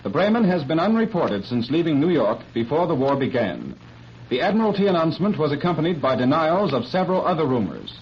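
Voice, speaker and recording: A man reading a 1930s radio newscast and station announcements in a brisk announcer delivery. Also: a little high, sing-song. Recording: dull old radio broadcast with faint hiss.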